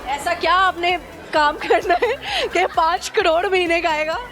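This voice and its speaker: A woman's voice: very high-pitched, steady tone, a little slow, a bit bright. A young woman hosting a street interview, teasing her guest and laughing often. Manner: playful, mocking, laughs often. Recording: outdoor street recording with crowd and music.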